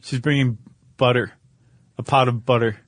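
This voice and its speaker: A man's voice: mid-range voice, fairly even intonation, normal speed, no room sound. A man co-hosting a podcast, telling stories in a casual, wry, joking way. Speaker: podcast co-host. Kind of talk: casual conversational storytelling.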